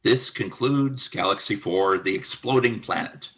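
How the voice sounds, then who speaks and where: A man's voice: on the low side, a little slow, a bit bright, highs cut off. A man reading scripted stage-direction narration for a radio play.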